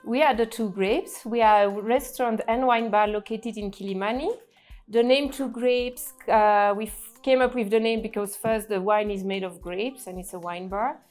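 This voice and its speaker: Woman, restaurant co-owner, halting speech, music behind. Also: mid-range voice, steady tone, darkish tone. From Belgium. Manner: plain, slightly halting explaining. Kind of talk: casual explaining interview. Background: music playing.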